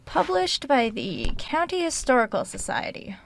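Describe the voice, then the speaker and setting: Composed writer with creaky voice. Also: female voice, sits high, huge pitch swings, moderate pace, heavily acted. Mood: wry, reflective. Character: curious, determined woman writer ghost-hunting. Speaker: writer investigating a haunted house. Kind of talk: audio drama acting, diary narration.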